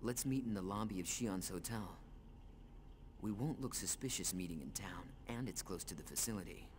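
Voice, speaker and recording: A man's voice: low voice, lively intonation, moderate pace, a little hiss or hum. An actor playing a cool, level-headed man planning a covert mission in a sci-fi video game. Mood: calm, discreet. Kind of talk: English video game dub acting.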